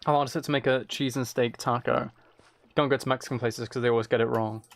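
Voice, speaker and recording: Low-key man with creaky voice, gaming. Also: steady tone, fast talker. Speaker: streamer playing a game while chatting with viewers. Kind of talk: casual game commentary and chat.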